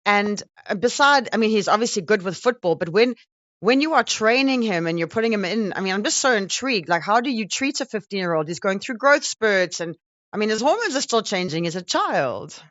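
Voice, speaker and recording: A woman's voice: medium pitch, lively intonation, brisk, fairly bright tone, no echo, lo-fi sound. A woman with a South African accent hosting an interview in a chatty, informal, effusive way. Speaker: radio or show host interviewing a guest. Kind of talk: conversational interview.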